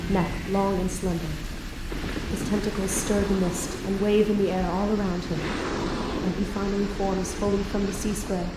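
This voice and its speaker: A woman's voice: mid-range voice, very noisy, close to an everyday voice. A woman playing an awkward, eager young student who dabbles in demon summoning, in an amateur audio drama. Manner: casual, hesitant, self-deprecating. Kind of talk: amateur audio drama acting with first-person narration. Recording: echoey room.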